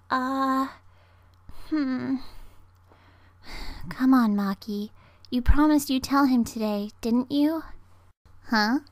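A woman playing a perky, chatty teenage schoolgirl in an amateur anime dub audition, expressive and girlish. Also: high voice, no room sound.

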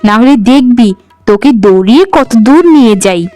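A woman voicing a scolding, sharp-tongued middle-aged village mother in a cartoon, with a high voice. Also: animated, a little fast, faint music behind, lightly acted. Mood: angry. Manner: nagging, scolding. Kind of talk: cartoon voice acting.

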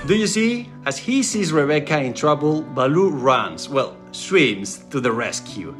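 A man narrating a vlog in a wistful, gently joking voice-over, a native Spanish speaker. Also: on the high side, sing-song, measured pace, faint music behind.

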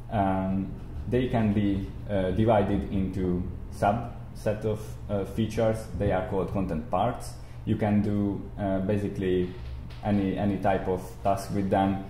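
A young man giving an explanatory software tutorial, haltingly, repeating and rephrasing himself as he goes. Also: sits low. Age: young adult. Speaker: tutorial instructor. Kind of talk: explanatory software screencast lecture. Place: indoor room, speaking beside a screen recording. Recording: roomy echo, audible background noise.

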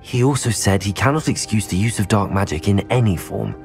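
A man acting as a level-headed, polite young student wizard hero in a video game, measured and formal. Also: on the low side, darkish tone, close to an everyday voice. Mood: composed, earnest. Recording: music behind the voice.

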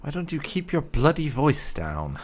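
A man with a gravelly voice giving casual playthrough commentary with some light roleplay. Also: a little high, sing-song, measured pace, a little hiss or hum. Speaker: gamer playing and commentating.

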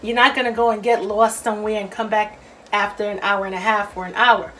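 A woman's voice: medium pitch, level tone, fairly quick, fairly bright tone, a little hiss or hum, low-quality recording. A woman narrating a recipe casually as a cooking host, chatty and playful, talking straight to viewers.

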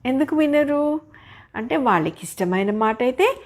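A woman's voice: high voice, animated, normal speed. An older woman telling a children's story informally, explaining as she goes and putting questions to her listeners. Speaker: storyteller grandmother.

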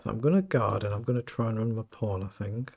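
A middle-aged man with a croaky voice, thinking aloud as he plays fast chess and comments on each move. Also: fairly low pitch, expressive, background noise. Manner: thinking aloud, muttering over moves. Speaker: chess streamer. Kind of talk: live game commentary.

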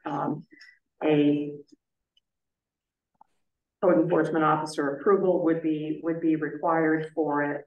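Slow, low-voiced woman chairing a meeting. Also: darkish tone, distant mic, lo-fi sound. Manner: measured and careful, many filler pauses. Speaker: planning board chair. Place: town office meeting room. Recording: echoey room, voice picked up from afar.

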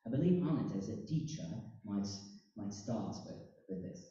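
Male panel host, dark muffled tone, far from the mic in a big echoey hall. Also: lowish voice, animated. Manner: halting, many fillers and restarts. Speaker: panel moderator. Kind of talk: hosting a panel discussion. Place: large conference hall. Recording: roomy hall, distant mic, dull sound.

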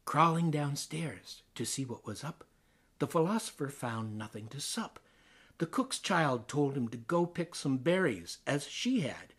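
A Canadian man performs poetry with theatrical flair. His voice sits a little high for a man and moves through a lively range of pitch. Each phrase is placed deliberately, as an actor would in a dramatic reading. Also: slightly rough. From Ontario, Canada.

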